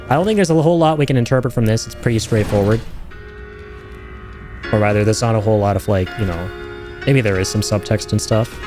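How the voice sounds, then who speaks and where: A man giving casual reaction commentary, rambling and thinking aloud with some excitement. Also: on the low side. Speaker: video creator reacting to game trailers.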